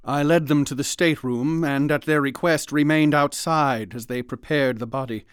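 An actor playing an earnest, haunted ship's doctor in a radio drama, speaking in a formal, old-fashioned manner. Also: male voice, on the high side, steady tone, moderate pace, clean tone, no room sound, studio quality, close to an everyday voice. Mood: grave, recounting. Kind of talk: radio drama acting and narration.

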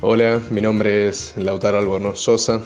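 Low, even-voiced Argentine man. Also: moderate pace, soft background music. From Catamarca, Argentina. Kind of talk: prepared personal statement.

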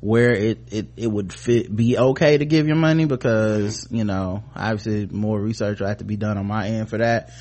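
An American man co-hosting a podcast, casually talking through the news and reading bits of articles in a halting, informal way. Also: fairly low pitch, fairly even intonation, darkish tone. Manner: informal, halting, often trailing off mid-thought. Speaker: podcast co-host.

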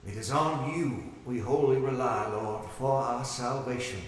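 An actor playing a righteous, authoritative camp preacher, praying and preaching solemnly and emphatically in a horror audio drama. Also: male voice, mid-range voice, a little slow, natural voice. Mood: solemn, imploring. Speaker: preacher leading prayer at a religious camp. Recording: echoey hall, distant mic, faint noise.

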